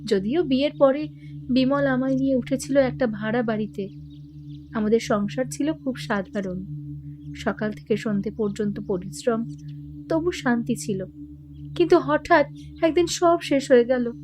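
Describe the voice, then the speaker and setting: Over a noticeably noisy, humming bed comes a meek widow played by an actress. Her voice is high, plaintive and subdued. She sounds like a poor, resigned mother in an audio detective drama. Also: a little slow, long pauses, lightly acted. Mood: sorrowful, resigned.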